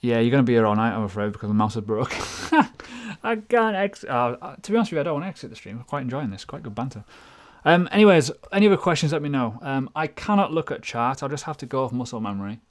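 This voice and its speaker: A man's voice: medium pitch, big pitch swings, quick pace. A man hosting a casual live question-and-answer stream, chatty and self-deprecating, rambling through asides. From United Kingdom. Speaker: crypto livestream host.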